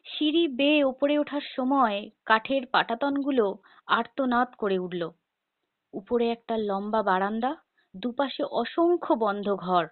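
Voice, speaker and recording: A woman reading a scripted first-person ghost story in a measured, dramatic storytelling manner. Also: mid-range voice, no echo. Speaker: horror story narrator. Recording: tinny phone-like narrow band.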